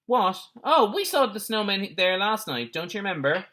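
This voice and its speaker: A brusque storybook villain, played by a man reading aloud, with a raised pitch and a sharp edge. He is dismissive, impatient and forceful. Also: very animated, moderate pace, exaggerated voice.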